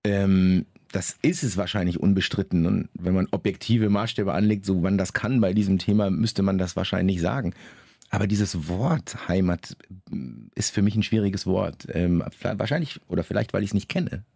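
Low, gravelly, reflective man. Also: warm tone, no echo, studio quality. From Germany, Lower Saxony. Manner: reflective, measured, with many fillers and restarts. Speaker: novelist, interview guest. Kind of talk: thoughtful interview answers.

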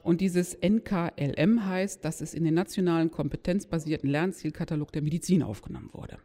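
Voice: mid-range voice, a little fast, warm tone. A lecturer explaining didactically to an audience, stressing key words and posing rhetorical questions. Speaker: lecturer in nursing or healthcare. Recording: echoey hall with long reverb.

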